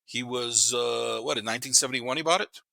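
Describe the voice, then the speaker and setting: A man's voice: medium pitch, fairly quick, gravelly, sharp tone, no echo. A man, chatting casually as a podcast guest and telling stories bluntly, with slang, swearing and repeated words for emphasis. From Panama. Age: 50s. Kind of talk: casual chat, storytelling.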